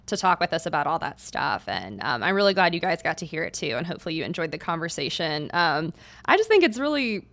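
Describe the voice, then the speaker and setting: A woman's voice: low-pitched, sing-song, fast, creaky, a bit bright. A young woman hosting a sports podcast, talking casually and with enthusiasm, with many fillers. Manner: friendly, filler-heavy, enthusiastic. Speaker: beat reporter, podcast host.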